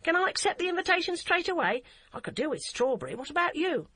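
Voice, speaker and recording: A woman's voice: extremely high pitch, sing-song, fast, sharp tone, no room sound, voice pushed up, exaggerated voice. A woman voicing a wry, teasing grown woman who jokes her way around a child's questions. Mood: hearty.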